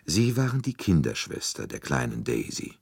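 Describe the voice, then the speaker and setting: A man voicing a shrewd, courteous gentleman detective, calm, deliberate and soothing, with bits of French, in an audiobook story. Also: a bass voice, gravelly, slightly rough, no echo, clean studio sound, exaggerated voice.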